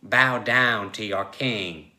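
A man's voice: medium pitch, unhurried, pushed and bright. A man playing a brash, demanding, foul-mouthed prisoner turned tiger in a comic story reading. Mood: impatient, commanding. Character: brash, impatient male prisoner turned lustful giant tiger.

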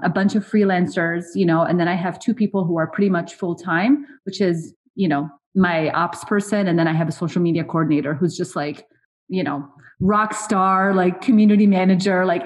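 A woman whose first language is Arabic, chatting casually as a guest in an interview, full of fillers and animated as she tells stories. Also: on the low side. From outside the United States. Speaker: food blogger guest.

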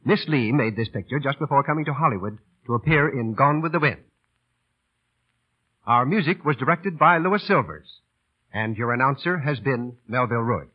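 A man's voice: medium pitch, lively intonation, moderate pace, breathy edge. A man reading scripted announcements in a formal, declamatory 1940s radio style. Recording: muffled vintage radio, faint crowd noise.

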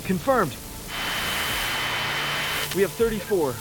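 A man's voice: high-pitched, animated, slow pace, over loud music, rough recording. A man playing a terse, commanding starship officer who speaks urgently over the comm in an audio drama. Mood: terse, businesslike. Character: brisk, urgent male starship officer, rival crewmate.

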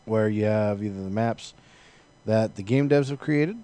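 A man's voice: on the low side, unhurried, warm tone, clean tone. A man, perhaps in his thirties, chatting informally about video games as a co-host, with plenty of profanity.

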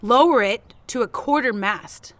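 A woman's voice: on the high side, unhurried, fairly bright tone, dry recording. An actress playing an indignant, sardonic woman in a naturalistic audio drama, speaking bluntly and challengingly.

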